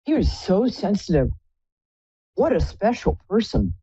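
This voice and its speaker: A woman with a low contralto voice hosting a live psychic call-in show, chatty and effusive, calling callers pet names. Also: sing-song, a little slow, mellow, breathy edge, dry recording, lo-fi sound. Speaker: psychic radio host.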